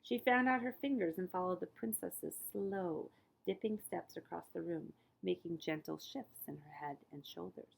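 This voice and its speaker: A woman reading a children's story aloud as a librarian, with expressive emphasis and phrases that often fall hushed. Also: fairly low pitch, normal speed, creaky, slightly rough, low-quality recording.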